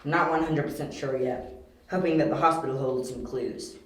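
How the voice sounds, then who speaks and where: A young detective in an amateur radio play, acted by a woman, brisk and sure in the questioning. The voice sits low and has an echoey room around it. The delivery is terse, probing and self-assured. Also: steady tone, lightly acted. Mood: assured, businesslike. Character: sharp, curious young detective, confident and brisk. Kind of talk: amateur audio drama acting. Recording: roomy, echoey home recording.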